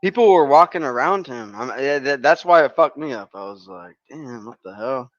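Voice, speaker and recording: A man's voice: medium pitch, expressive, normal speed, no echo. Laid-back man from California, dull audio. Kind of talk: casual chat.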